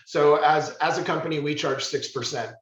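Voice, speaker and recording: A man's voice: fairly high pitch, normal speed. A man leading a real estate team meeting, speaking emphatically and restarting his phrases. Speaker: real estate office leader. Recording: roomy, dull meeting-room sound.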